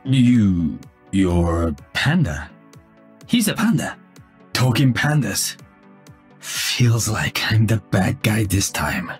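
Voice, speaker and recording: Calm wry cyborg ninja from a game, raspy edge, pitch moves around a lot, music under it. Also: male voice, heavily acted. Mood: bemused. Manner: deliberate, measured, deadpan. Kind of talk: video game hero voice lines.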